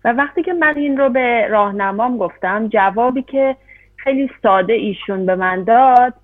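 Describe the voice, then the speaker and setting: A woman interpreting a talk, rushing through phrases with hesitant fillers between them. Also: fairly high pitch, dry recording, soft background music. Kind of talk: consecutive interpretation. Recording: dry, tinny phone-like sound.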